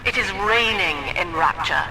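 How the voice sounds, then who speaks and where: A woman playing a cold, commanding psychiatrist and cult leader in a video game, speaking in measured, solemn oratory. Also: fairly low pitch, expressive, heavy background noise. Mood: grave, persuasive. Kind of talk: scripted dramatic game dialogue. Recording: echoey reverberant hall, music behind.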